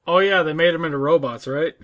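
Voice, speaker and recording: A man's voice: fairly high pitch, fast talker, fairly bright tone. A man co-hosting a casual, conversational chat about video games. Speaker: podcast co-host.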